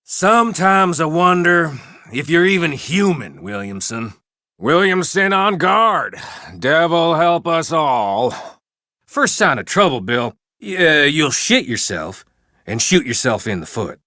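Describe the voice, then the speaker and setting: A contemptuous Old West outlaw for a video game. His taunts are unhurried and swing widely in pitch, with a forceful, cutting tone and a dry, close sound. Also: big pitch swings, dry recording, full character voice. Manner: mocking, insulting, drawn-out taunts. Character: mean, sneering male Old West gang outlaw bullying his companions. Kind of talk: video game character barks.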